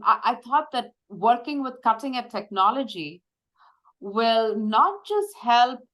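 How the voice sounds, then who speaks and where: A woman in her fifties from India, a medical device executive, talking through her career in a measured, reflective way with frequent pauses and fillers. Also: fairly high pitch, fairly bright tone, low-quality recording. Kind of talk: conversational interview.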